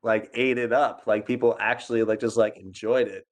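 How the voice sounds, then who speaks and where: A man's voice: on the low side, steady tone, moderate pace. A man, a musician guest, chatting casually and leaning heavily on "like" as a filler. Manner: filler-heavy, lots of "like". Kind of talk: casual conversation.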